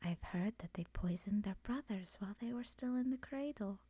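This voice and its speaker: A woman voicing an ancient, immortal swamp witch in an ASMR roleplay, soft-spoken and often whispery, gently knowing and wistful. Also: medium pitch, normal speed, husky, no room sound, natural voice. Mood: wry, cautioning.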